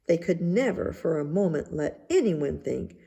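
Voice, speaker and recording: Woman narrating a storybook, lowish creaky voice, unhurried and deliberate. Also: animated, mellow. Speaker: story reader.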